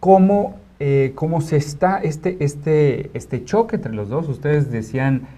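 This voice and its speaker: A man hosting a conversational panel discussion in a studio, halting and often rephrasing as he seeks agreement. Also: fairly high pitch, lively intonation, mellow. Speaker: talk show host. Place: internet TV studio.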